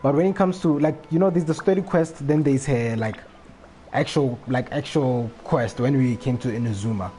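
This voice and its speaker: Mellow-voiced man chatting on a game stream. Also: medium pitch, normal speed, soft background music. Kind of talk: casual gaming livestream chat.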